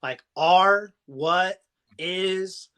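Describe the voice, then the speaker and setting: A man with a southern Ohio American accent talks in a loose, rambling way, offering folksy encouragement on a casual live stream. His voice is very bright and ringing and sits a bit high for a man. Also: very slow, clear, resonant tone. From Ohio, USA. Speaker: motivational live-stream host.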